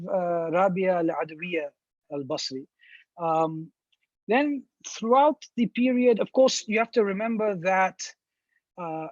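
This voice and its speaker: A man talks in a seminar, weighing each idea through restarts and fillers. His voice is husky and sits fairly high for a man. The sound is dry and a little muffled, like call audio. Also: no echo. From India. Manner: thoughtful, many restarts and fillers. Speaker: professor answering questions. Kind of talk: academic seminar talk.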